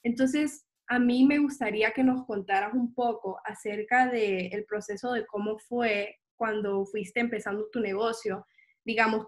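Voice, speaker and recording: Friendly woman asking long questions. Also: on the high side. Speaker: interviewer. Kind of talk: interview questions.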